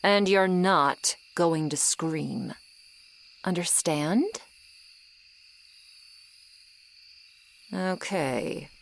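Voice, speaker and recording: A woman's voice: fairly low pitch, creaky, a little hiss or hum, heavily acted. A woman voicing a bossy, exasperated but secretly caring female swamp monster in an ASMR audio roleplay. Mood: stern, threatening. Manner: exasperated, bossy, scolding, deliberate.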